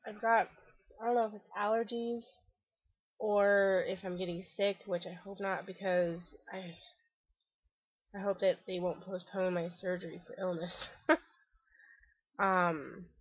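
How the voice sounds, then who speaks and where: A woman's voice: medium pitch, level tone, takes it slowly, long pauses, no echo, dull audio. Stuffy-nosed woman vlogging from her back deck. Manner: chatty and rambling, frequent ums and restarts. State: congested, stuffy nose. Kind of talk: casual video diary update. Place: covered back deck outdoors.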